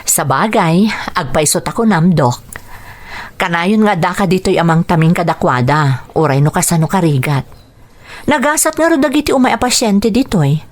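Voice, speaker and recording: Friendly hospital nurse, Ilocano accent, respectful, animated delivery, radio drama. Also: female voice, lowish voice, moderate pace. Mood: friendly, admiring.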